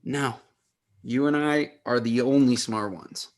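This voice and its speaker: A man playing an awkward, earnest teenage brother in a sitcom table read, stammering and halting. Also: mid-range voice, natural voice. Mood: deadpan.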